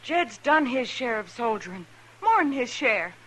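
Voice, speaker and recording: Defiant, sharp-toned frontier woman. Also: fairly high pitch, very animated, unhurried, full character voice, poor audio. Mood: bitter, defiant. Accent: rural American Western. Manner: curt, folksy, combative. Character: defiant, stubborn frontier woman devoted to her man. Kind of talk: 1950s western radio drama acting.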